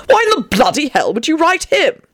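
A woman voicing a sour, demanding male lieutenant in an audiobook story, gruff and irritable. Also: high voice, sing-song, a little fast, a bit bright, pitched above the performer's own voice. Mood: demanding, rude.

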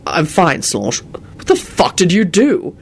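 A woman voicing a gruff adult man with a dry, deadpan way of talking. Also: fairly low pitch, measured pace, heavily acted.

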